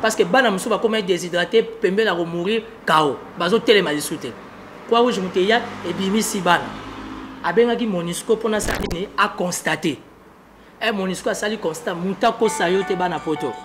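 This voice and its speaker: A man's voice: high voice, fairly quick, noisy background. A man from the Congo with a Congolese accent, giving political commentary as an interviewed analyst, emphatic and often repeating his phrases.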